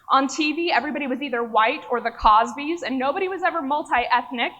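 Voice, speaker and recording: High-voiced woman giving a wry stage talk. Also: a bit bright, muffled recording. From Oklahoma. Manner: wry, self-mocking humor. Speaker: speaker at a live event. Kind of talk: rehearsed personal talk. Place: stage before an audience.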